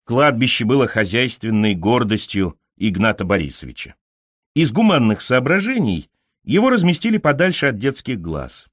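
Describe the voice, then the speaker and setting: A man narrates literary fiction in the first person. His delivery is measured and expressive, with intonation that moves widely up and down at a medium pitch. The close microphone gives a very dry sound with no room echo. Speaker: audiobook narrator.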